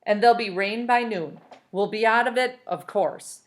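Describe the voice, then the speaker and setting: A woman's voice: on the high side, moderate pace, natural voice. A woman voicing a chatty, grinning man who floats about, a slick and breezy persuader with brash, folksy patter, in a storybook read aloud. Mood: chatty, grumbling.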